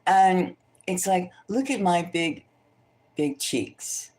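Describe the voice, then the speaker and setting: Lady doing a chatty tarot reading, lowish voice that swoops around a lot, gossipy, rambles and repeats herself. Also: lively intonation, takes it slowly, darkish tone, slight background noise. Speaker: tarot reader hosting a celebrity psychic reading. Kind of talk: casual chatty tarot reading, thinking aloud.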